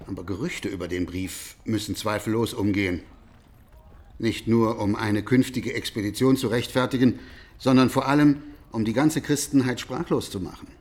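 An actor playing a prudent, fussy imperial chancellor in a radio drama, officious and deliberate. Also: male voice, lowish voice, steady tone, lightly acted. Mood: officious, cautious.

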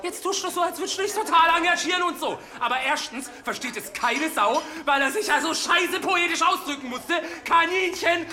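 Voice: extremely high pitch, a little fast, hardly any pauses, over music. An actor in a radio drama playing an agitated, sarcastic colleague who bickers in coarse slang and demands plain facts. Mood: scornful. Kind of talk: naturalistic fast-bickering radio drama acting.

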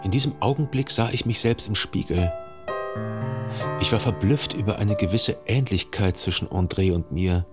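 A man acting the brooding, jealous narrator of a literary radio drama, measured, introspective and formal in delivery. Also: lowish voice, lightly acted. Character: brooding, probing, jealous male narrator in mourning.

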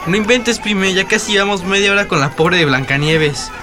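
A man's voice: high-pitched, normal speed, a crowd in the background, close to an everyday voice. A male actor playing a helpful, teasing boy with a Mexican accent in a radio soap.

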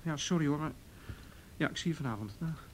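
A man's voice: a little high, a little fast, a little hiss or hum, lightly acted, rough recording. A man acting in a radio play as a patient, principled police officer on duty, in naturalistic dialogue. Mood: weary.

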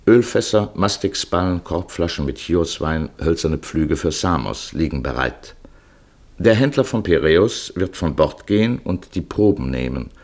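A man narrating a literary travel feature in 1950s radio style, telling it vividly and in a measured way. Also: sits low, expressive, darkish tone.

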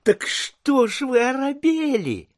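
A sly, glib government clerk in an audio drama. The voice is high for a man and swoops about, unhurried and knowing. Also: big pitch swings, heavily acted. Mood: sly, reassuring. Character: sly male clerk talking in glib rhymes.

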